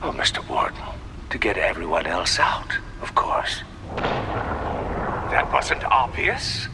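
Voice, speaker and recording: An actor playing a deranged, theatrical male villain in a game drama, with a mocking, taunting manner and a sharp voice. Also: lowish voice, sing-song, slow pace, very noisy, full character voice. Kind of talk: animated game drama acting.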